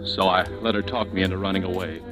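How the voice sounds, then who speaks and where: An actor playing an anxious, protective young man from California in a 1940s radio drama, plain-spoken and halting, with music underneath. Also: sits low, steady tone, lightly acted. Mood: somber, regretful. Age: young adult. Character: anxious, protective young Californian brother telling his story.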